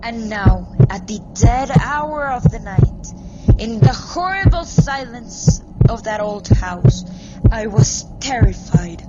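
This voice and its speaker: A woman playing a nervous, mad murderer who insists on sanity, in a deliberate, dramatic gothic horror monologue. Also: fairly high pitch, animated, heavy background noise. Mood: terrified, frantic. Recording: dull lo-fi recording, loud music over voice. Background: prominent background music.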